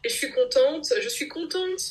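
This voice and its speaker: A woman from Belgium, talking casually as a podcast guest and telling her story in an animated, laughter-prone way. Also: high voice, moderate pace. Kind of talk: casual conversational interview.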